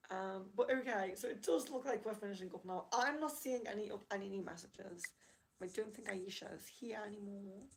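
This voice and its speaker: Creaky-voiced woman on a livestream. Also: medium pitch, brisk, a little hiss or hum. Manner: apologetic, chatty, reads out viewer comments. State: losing her voice, slightly hoarse. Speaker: livestream rune reader. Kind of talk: casual livestream chat.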